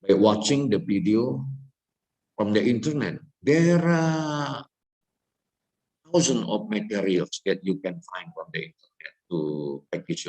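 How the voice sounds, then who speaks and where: A middle-aged male lecturer with an Indonesian accent and a fairly low voice. He speaks very slowly and deliberately, repeating his points for emphasis. He keeps checking in with his students by asking "okay?". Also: darkish tone, poor audio. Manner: slow deliberate speech with frequent "okay?" checks; repetitive, emphatic. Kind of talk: classroom lecture.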